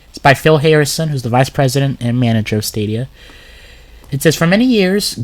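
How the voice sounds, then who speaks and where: Man, sick, sore throat, stuffy, casual gaming commentary, rambling, reading aloud, desk mic. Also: medium pitch. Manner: rambling, with filler words, laughs and restarts. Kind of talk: casual screen-share commentary, reading a blog post aloud.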